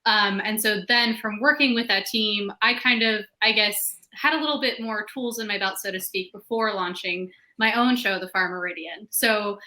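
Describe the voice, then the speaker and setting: Female writer-panelist. Bright, crisp-edged tone at average pitch, relaxed conversational phrasing. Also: moderate pace, few pauses, low-quality recording. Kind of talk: casual panel talk.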